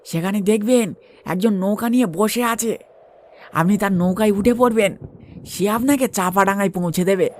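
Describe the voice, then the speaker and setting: Guy doing a creepy-sly village tea stall man for a ghost cartoon, really high, fawning, drags his words, bit of noise. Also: natural voice. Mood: sly, coaxing. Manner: servile, drawn-out wheedling with sly chuckles. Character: sly, obliging rural male tea seller in ghost tale.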